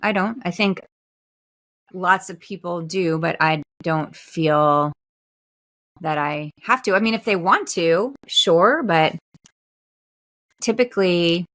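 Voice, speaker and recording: Woman, 40s, podcast co-host, low-ish voice, chatty storyteller who laughs easily. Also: slow pace, long pauses, a bit bright. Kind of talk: casual chat.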